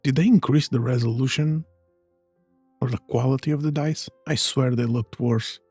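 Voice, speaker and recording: A man streams a video game and comments casually as he goes. His voice is mellow and a little husky, with low-key muttered asides. It sounds dry and close, with faint music behind it. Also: fairly even intonation, moderate pace, no echo. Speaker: gaming streamer.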